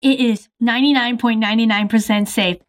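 Cast: woman as a shifty, pushy stranger, evasive, somewhat high voice, game roleplay. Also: fairly even intonation, moderate pace, close to an everyday voice. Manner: evasive, pushy, brushes off questions. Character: shady, pushy stranger named Bob. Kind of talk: improvised game roleplay voice-over.

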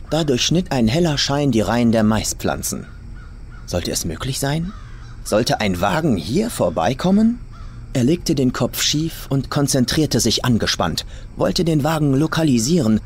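A man's voice: medium pitch, a little hiss or hum. A man reading a horror story as a dramatic scripted narration, like a professional audiobook narrator. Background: faint music and atmospheric sounds.

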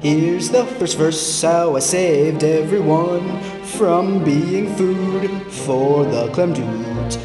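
A vain, bragging video game hero sings out his exploits with theatrical flair. The delivery is extremely slow, echoing in long reverb over loud music. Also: fairly high pitch. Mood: boastful. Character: vain, bragging male muscle-bound hero. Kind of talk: theatrical sung storytelling.

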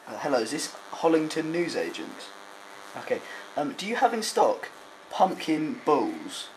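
A man's voice: a little high, very animated, unhurried. A young adult man making a prank phone call, asking politely and hesitantly with feigned earnestness. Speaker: prank caller. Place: dim room at home. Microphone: handheld camera close by. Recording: amateur camcorder audio with clear noise.

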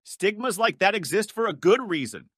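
A man delivers scripted commentary in a high, sharp voice. His tone is scornful and sarcastic. The voice-over is close and very dry. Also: expressive, normal speed, breathy edge, no echo. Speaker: video commentator.

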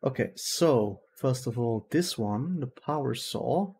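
A man's voice: mid-range voice, expressive, slow, darkish tone. A man in his thirties whose first language is Dutch, chatting casually through a headset while playing a game at home and laughing at his own mistakes. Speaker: gaming streamer. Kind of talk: casual let's-play commentary. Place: small room at home.

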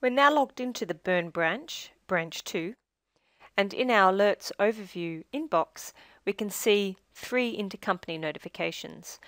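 A woman reading a scripted software tutorial as demo narrator, in a measured, instructional manner with phrase endings that trail down. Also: medium pitch, expressive, dry recording, studio quality.

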